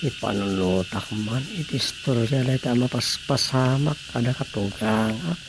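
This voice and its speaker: A man's voice: medium pitch, normal speed, background noise, lightly acted. A man playing an easygoing, daydreaming husband in a Filipino radio drama comedy, muttering with a Filipino accent. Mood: drowsy musing.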